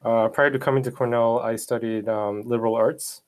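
Young man from South Korea, measured. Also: mid-range voice, fairly even intonation. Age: 20s. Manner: measured, with fillers. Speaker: guest. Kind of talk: casual conversation.

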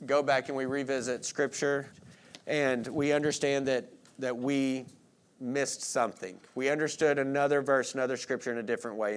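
A man, preaching an expository sermon in an informal, self-deprecating way with rhetorical questions to listeners. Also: medium pitch. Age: late 30s. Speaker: pastor preaching.

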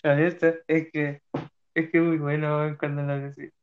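A young man with a Chilean Spanish accent leads a playful mock interview. His voice is fairly high, and he rambles and hunts for words. The recording sounds dull and muffled. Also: level tone, a little slow. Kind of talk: casual role-played interview.

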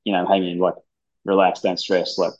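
A man talking in a laid-back, rambling way, swearing casually during a relaxed interview. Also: low voice, moderate pace. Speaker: gym founder and ice hockey player, guest. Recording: dull lo-fi sound.

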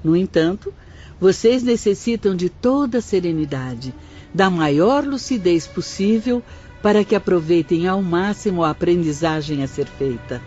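An actress playing a composed spirit-world instructor in a radio drama, explaining calmly and didactically. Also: female voice, fairly low pitch, measured pace. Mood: calm instructing. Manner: calm, didactic. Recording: dull recording with faint music.

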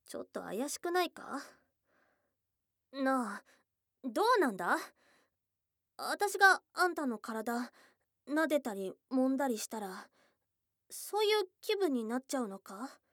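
A woman voicing a shy young goblin girlfriend in an intimate ASMR voice drama, blunt and tomboyish in wording but flustered and tender, with breathy murmurs close to the mic. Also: high-pitched, big pitch swings, a little slow, long pauses, a bit bright, dry recording, heavily acted. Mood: flustered, doubting. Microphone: close ASMR microphone.

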